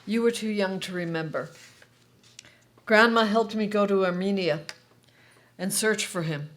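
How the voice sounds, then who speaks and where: An actress reading the part of a middle-aged Armenian-American mother in a staged play, speaking in a measured, reflective way. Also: mid-range voice, fairly bright tone, natural voice. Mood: earnest. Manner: measured, slow, reflective delivery.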